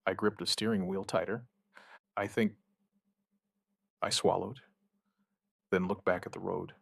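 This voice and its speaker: An actor playing a shaken outbreak survivor, giving his account in halting, somber, dramatic narration with short deliberate phrases. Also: low voice, little pitch movement, gravelly, fairly bright tone, dry recording, studio quality, heavily acted. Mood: grim, haunted. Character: shaken male outbreak survivor recounting events, dryly stubborn. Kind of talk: dramatic first-person scripted narration, witness account.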